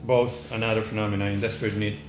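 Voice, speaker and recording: A man's voice: fairly low pitch, little pitch movement, quick pace. Argentine-accented man giving a hesitant lecture. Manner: hesitant, many "uh" fillers and restarts. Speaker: conference panelist. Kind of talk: academic lecture read from notes. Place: conference room. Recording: echoey room, faint background noise.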